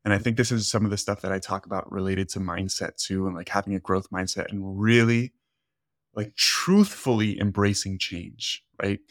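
A man's voice: lowish voice, fairly even intonation, moderate pace, dry recording, studio quality. A man whose first language is Spanish, a coach hosting a casual podcast, talking earnestly with lots of "like" and "right?". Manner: earnest, rambling, leans on "like" and "right?". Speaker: fitness coach and podcast host.

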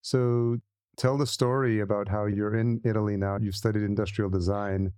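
Low, mellow male podcast host. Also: little pitch movement, normal speed, clear, resonant tone, no echo, clean studio sound. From El Salvador heritage, lives in the US. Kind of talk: casual interview chat and sponsor read.

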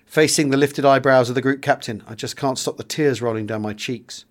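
A man's voice: medium pitch, brisk. British man narrating a dramatic memoir. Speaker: audiobook narrator.

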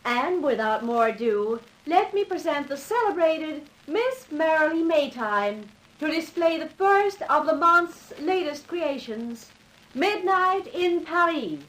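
An actress playing a poised, dryly reproving fashion-house boss in a 1950s radio drama. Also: female voice, sits high, lively intonation, slow, a bit bright, full character voice, rough recording. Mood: formal announcing.

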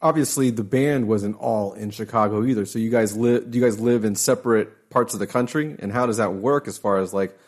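A man hosts a casual interview in a fairly low voice. His questions wander, full of you know and like. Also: fairly quick, hardly any pauses, darkish tone. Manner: rambling, chatty, heavy on fillers like you know and like. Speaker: podcast interviewer.

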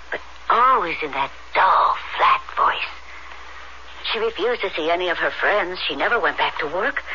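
A fretful middle-aged mother, confides earnestly in a piercingly bright voice. She is theatrical and resigned, on a muffled old radio drama recording with faint noise. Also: mid-range voice. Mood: troubled, resigned.

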